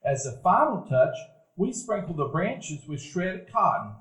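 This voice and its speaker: Casting: actor as a reminiscing narrator; slightly high male voice, roomy hall. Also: unhurried. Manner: calm, reminiscent storytelling. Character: grown Buddy recalling his boyhood. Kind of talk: radio play narration. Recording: roomy live stage recording.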